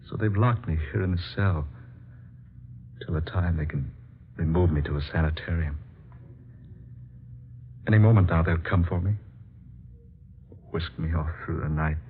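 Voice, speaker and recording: A man's voice: sits low, a little hiss or hum. An American man playing a brooding, desperate scientist accused of madness, in formal, melodramatic 1940s radio drama style. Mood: bitter, resigned. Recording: dull lo-fi vintage radio, music.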